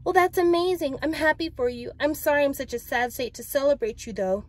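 Young female idol from a novel, read by a woman, higher-pitched, earnest, pleading, full of regret. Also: a little fast, dry recording, natural voice. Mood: wistful admiration. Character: tearful, self-absorbed young woman pop idol, earnestly remorseful. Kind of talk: dramatic book read-aloud.

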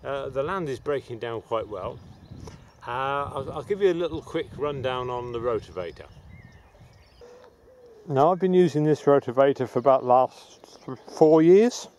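Middle-aged man, mellow and unhurried, casual amateur gardener presenter, recorded outdoors with faint ambient noise. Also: medium pitch. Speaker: allotment gardener presenting own video. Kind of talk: casual vlog demonstration. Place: outdoors on an open allotment plot. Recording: outdoor background noise with birdsong.

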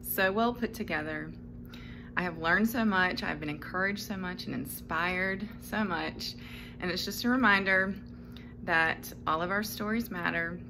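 A woman's voice: fairly low pitch, measured pace. Chatty woman vlogging on a phone. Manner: chatty, laughs often, speaks softly at times. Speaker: farm vlogger. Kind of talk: casual vlog to camera. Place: large convention center and busy bar. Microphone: handheld phone at arm's length. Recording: loud crowd and background noise.

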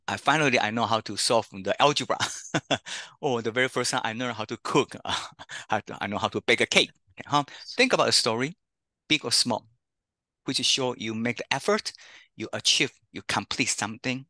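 A man's voice: mid-range voice, moderate pace, pushed and bright, no room sound. A middle-aged man from Taiwan with a Taiwanese accent, Chinese as his first language, presenting an online career workshop as a counselor and often tagging "okay, huh?". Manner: chatty, frequent "okay, huh?" tags. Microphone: video call.

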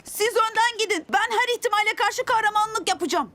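Very high, cocky young boy. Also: fairly quick, bright, forceful tone, a little hiss or hum. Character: cocky, impulsive ten-year-old boy hero. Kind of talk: animated cartoon dub. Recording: music under the voice.

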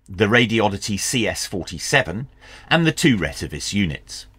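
A man in his fifties presenting a scripted product review in a measured, clearly enunciated way. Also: fairly low pitch, a bit bright, no room sound. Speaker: host of a radio review channel. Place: small indoor workshop.

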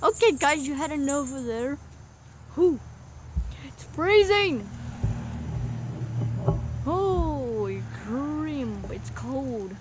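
A woman's voice: high-pitched, expressive, very slow, pauses often, background noise, heavily acted. A woman voicing a jumpy male plumber plush who complains and scares easily. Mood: whiny. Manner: whiny, easily spooked.

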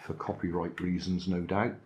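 An older man talks through his latest charity shop finds in a dry, musing way, with plenty of asides. His voice sits on the low side, and a faint noise runs under the recording. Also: level tone, normal speed, lo-fi sound. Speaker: vlog host reviewing charity shop finds. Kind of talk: casual show-and-tell narration. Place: indoors at a table, hands on camera.

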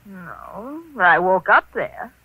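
An actress playing a detective's breezy, playful young wife, airy and coy, in an old radio mystery. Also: female voice, medium pitch, big pitch swings, takes it slowly, breathy edge, no echo, phone-quality sound, exaggerated voice. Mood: dazed, airy. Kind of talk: 1940s radio mystery acting.

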